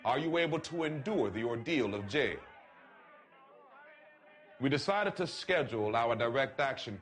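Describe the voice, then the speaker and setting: A man reads a written letter aloud in a solemn, oratorical way, with deliberate phrasing and emphatic pauses. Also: medium pitch, fairly bright tone. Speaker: reader of a letter.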